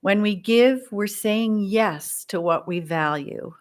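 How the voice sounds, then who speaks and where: A woman leading the service, introducing the speaker and the offertory in an unhurried manner. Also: mid-range voice. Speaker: worship leader.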